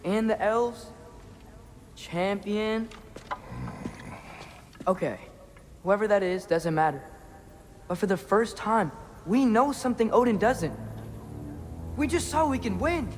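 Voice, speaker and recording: A man's voice: high-pitched, lively intonation, slow pace, long pauses. A young man playing an earnest, eager teenage boy in a dramatic video game cutscene, searching and hopeful. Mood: excited, urgent. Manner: earnest, searching, hesitant when unsure.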